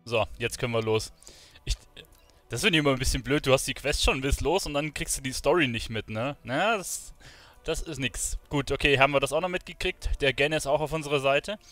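A man's voice: mid-range voice, brisk, a bit bright, soft background music. Casual man commenting on his gameplay. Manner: informal, colloquial, thinking aloud. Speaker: streamer playing an online role-playing game.

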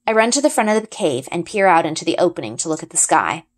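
A woman reading a children's fantasy novel aloud as an expressive storyteller. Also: lowish voice, fast talker. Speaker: audiobook-style reader.

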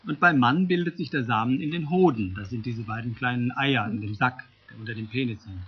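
A man playing an easygoing, gentle father in an educational radio play, explaining simply and carefully, on a lo-fi tape. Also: medium pitch, a little fast, husky, close to an everyday voice. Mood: patient. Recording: dull lo-fi tape recording.

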